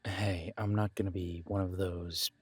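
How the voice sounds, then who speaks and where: A man playing a friendly, reassuring homebody best friend in an intimate one-sided roleplay audio, talking casually in halting, gently encouraging phrases. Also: low voice, level tone, husky, no echo. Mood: gentle, reassuring.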